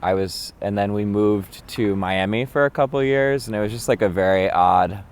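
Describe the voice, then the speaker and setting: Young guy from new york, low smooth voice, chatty, jumps into silly voices for quotes. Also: moderate pace. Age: young adult. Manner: loose, animated, acts out quotes in voices. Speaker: interviewee, young artist. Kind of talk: casual personal storytelling to camera.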